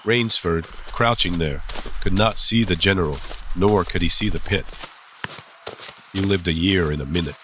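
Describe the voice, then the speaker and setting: Male narrator for an adventure audiobook: low voice, suspenseful delivery, clear ambient sound effects behind. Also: a bit bright. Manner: suspenseful, deliberate phrasing. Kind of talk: scripted dramatic audiobook narration. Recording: clear background ambience noise.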